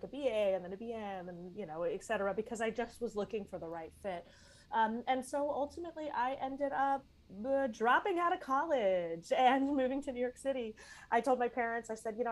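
A woman's voice: medium pitch, low-quality recording. A woman in her forties, originally from Texas, speaks as an interview guest. She tells her story conversationally, full of fillers and restarts, in a natural mid-range voice. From East Texas, US. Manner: chatty, lots of fillers and restarts. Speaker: guest, vocal coach and former Broadway performer.

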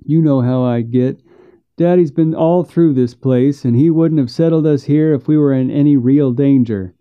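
A man's voice: mid-range voice, moderate pace, smooth, phone-quality sound, natural voice. A man voicing an irritable, superstitious rural backwoods man in an audiobook, folksy and grunting. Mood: irritated, mocking. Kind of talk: audiobook character voice.

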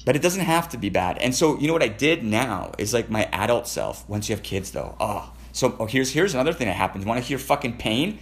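A man's voice: medium pitch, big pitch swings, a little fast, hardly any pauses. A Canadian man telling personal stories in casual conversation, profane, rambling and emotionally intense.

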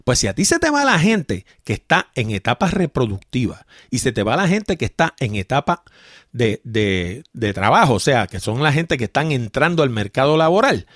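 A man's voice: medium pitch, sing-song, moderate pace, fairly bright tone, no echo, clean studio sound. A man with a Puerto Rican accent hosting a solo opinion show, talking straight to his listeners and often asking them rhetorical questions. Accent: Puerto Rican Spanish. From Puerto Rico. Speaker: podcast host.

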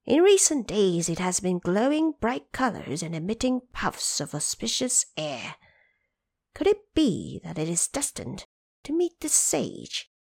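A woman voicing a shrewd, composed Dragon Queen with a measured, persuasive manner, in an audio drama. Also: mid-range voice, sing-song, gravelly, darkish tone, no echo, exaggerated voice.

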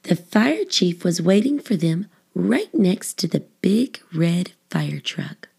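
A woman narrating a children's book, phrasing it expressively and deliberately for young listeners. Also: fairly low pitch, sing-song, vocal fry, darkish tone, husky. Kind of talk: scripted storybook narration.

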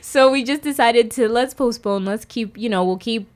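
A woman hosting a casual interview podcast, upbeat and laughing easily. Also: a little high. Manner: upbeat, laughs often, drawn-out phrasing. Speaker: podcast host.

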